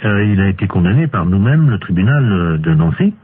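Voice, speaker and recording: A man playing a hesitant, deadpan male court official in an improvised telephone prank. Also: low voice, a little fast. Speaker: prank caller. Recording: tinny phone line with clear hiss.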